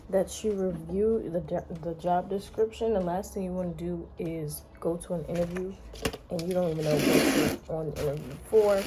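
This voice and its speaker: An American woman vlogger chatting casually in a playful, sassy, slangy way, her voice slightly hoarse. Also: fairly low pitch, background noise. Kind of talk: casual chatty day-in-the-life vlog.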